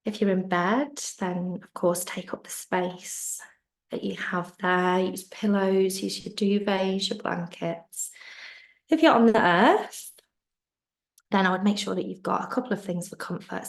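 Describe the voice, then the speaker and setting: A woman leads a guided relaxation in an unhurried, gently lowered voice. Her tone is soft and soothing, with a gravelly fry under the words, and at times she drifts into a whisper. It sounds like it was picked up over a video call. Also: fairly even intonation. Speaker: yoga nidra teacher.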